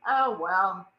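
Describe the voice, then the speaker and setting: A woman's voice: mid-range voice, highs cut off. A woman with a Texan accent hosting a casual livestream chat, reading viewer comments aloud and laughing often. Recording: echoey room.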